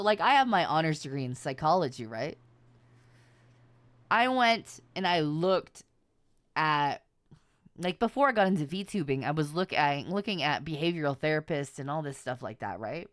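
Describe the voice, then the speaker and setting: A woman talks casually to her livestream viewers. Her pitch moves around a lot as she makes her points emphatically and swears freely. The sound is close and dry, with little room in it. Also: expressive, measured pace, pauses often, no echo. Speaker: VTuber streamer.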